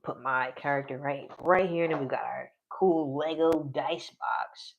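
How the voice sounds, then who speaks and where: A man's voice: a little high, fairly even intonation, unhurried, gravelly, muffled recording, a little hiss or hum. A man in his forties casually narrating his solo tabletop game on a live stream, rambling, trailing off mid-sentence and laughing often. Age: 40s.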